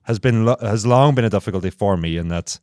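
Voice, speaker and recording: A man's voice: fairly low pitch, quick pace, a little mellow, dry recording, clean studio sound. A man co-hosting a casual podcast chat, analytical, working through long explanations with restarts. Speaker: podcast co-host.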